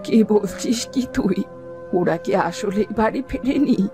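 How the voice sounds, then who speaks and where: A woman's voice: a little high, measured pace, lightly acted. Lady doing an old village granny for a cartoon, alarmed, knowing, stretches words out, music under it. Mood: alarmed, grave. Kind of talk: cartoon story voice acting.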